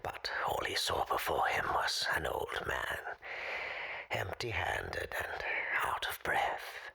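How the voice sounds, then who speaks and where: An actor playing a weary, sinister old man in an audio drama, telling his tale formally and theatrically in a hoarse, breathy rasp with dramatic pauses. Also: deep voice, wildly swooping pitch, bright, forceful tone, in a whisper, full character voice. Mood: grave, haunted. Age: older.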